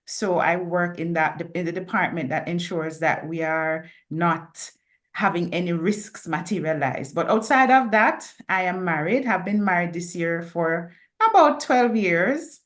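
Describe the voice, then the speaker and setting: Jamaican woman, slightly low voice, friendly, joking asides. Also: measured pace. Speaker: risk and compliance analyst, guest.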